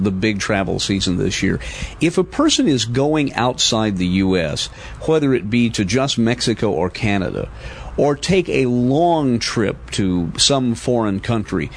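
An American man hosting a conversational radio interview, explaining things wordily and thinking aloud as he builds his questions, on a noisy recording. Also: medium pitch. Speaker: radio host and journalist interviewing.

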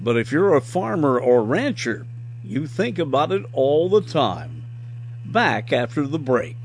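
Male radio host, middle-aged, lively pitch swings, journalist's storytelling delivery, light background noise. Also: measured pace. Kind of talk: radio feature narration and interview.

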